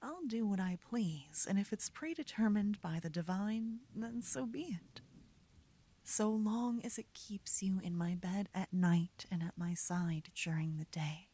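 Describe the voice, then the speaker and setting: Breathy, whispery woman acting a devoted fantasy lover. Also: medium pitch, mellow. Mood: devoted, earnest. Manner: slow, intimate, soothing delivery. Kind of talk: romantic ASMR roleplay. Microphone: close, dry microphone.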